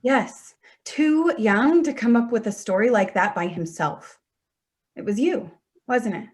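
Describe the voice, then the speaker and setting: A woman's voice: a little high, a little slow, a little mellow, lightly acted. A woman acting in a staged play reading as a hurt adult daughter, insistent and accusatory. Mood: accusing. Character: Danielle, wounded, accusing adult daughter confronting betrayal.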